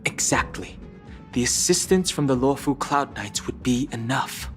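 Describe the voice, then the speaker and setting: A man's voice: medium pitch, expressive, a little slow, close to an everyday voice. Guy playing reserved serious young hero, level-headed, mid voice, game music in back. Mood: calm, serious. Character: calm, reserved, analytical young man, loyal to companions. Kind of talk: video game voice acting. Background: game soundtrack music.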